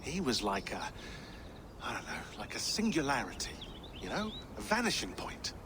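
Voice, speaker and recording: Lively, wry male team expert. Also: mid-range voice, normal speed. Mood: intent, explaining. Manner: animated, conversational, explaining. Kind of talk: naturalistic game cutscene acting. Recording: clear ambient noise behind.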